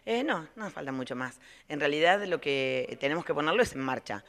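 A middle-aged Argentine woman speaks as a school director in a spontaneous TV interview. She explains step by step, often halting to rephrase. Her voice is picked up on a handheld reporter's microphone in a large indoor room. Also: sits low, lively intonation, a bit bright.

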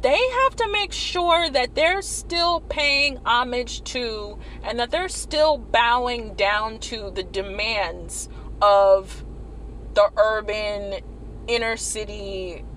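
A young woman from Maryland giving animated, wry commentary to the camera, repeating phrases for emphasis. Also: a little high, slight background noise, low-quality recording. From Maryland, USA. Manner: animated, wry, repeats herself when emphasizing, laughs at points. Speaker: vlogger. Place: small indoor room against a plain wall.

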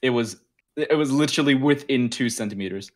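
A man's voice: mid-range voice, a little fast. A man chatting casually and exclaiming as he plays a game with friends. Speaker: guest player in online game. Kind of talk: casual gaming chat. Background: video game music.

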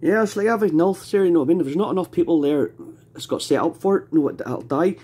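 A Scottish man speaks in a husky, slightly mellow voice, fairly high for a man. He chats casually in the manner of a radio amateur talking on air. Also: lively intonation, rapid-fire. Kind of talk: casual two-way radio chat. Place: indoors at a home radio desk.

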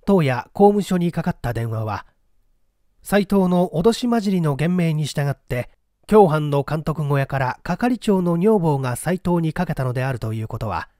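A man narrating a literary mystery story from a script, with deliberate, dramatic storytelling delivery. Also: a little high, animated, mellow, dry recording, clean studio sound. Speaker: audiobook narrator, stage actor.

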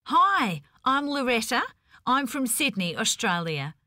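A woman voicing a bubbly, excitable young woman in a coursebook dialogue. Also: unhurried, dry recording, studio quality, full character voice. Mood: excited. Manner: excitable, high and bright.

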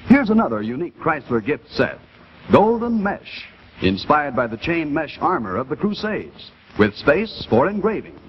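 A man's voice: fairly high pitch, very animated, moderate pace. An American man announcing on 1950s television with a polished sales delivery. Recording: dull 1950s TV kinescope, faint noise.

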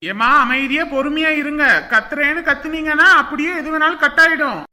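A performer plays a bossy doctor fed up with a noisy patient in a comic skit. The voice is bright and forceful. The delivery is scolding and sarcastic. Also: high-pitched, steady tone, brisk. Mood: exasperated. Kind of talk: comic family skit acting.

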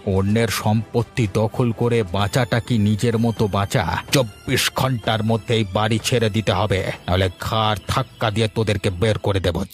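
Man acting a low-voiced scheming cartoon village uncle. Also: steady tone, moderate pace, hardly any pauses, soft background music, close to an everyday voice. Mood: menacing, accusing. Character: scheming villager and spiteful greedy old uncle.

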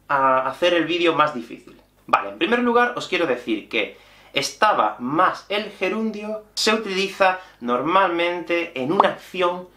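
A man, teaching in an animated online lesson. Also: high-pitched. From Spain. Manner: animated, gestures constantly while explaining. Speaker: Spanish teacher. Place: small room with bookshelf and whiteboard. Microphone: camera at mid-shot distance. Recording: echoey small room.

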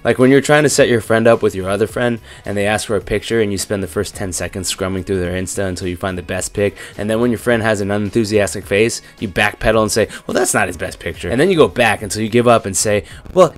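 A young man narrating his cooking vlog with deadpan, irreverent jokes. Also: lowish voice, fast, hardly any pauses. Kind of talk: joking cooking voice-over.

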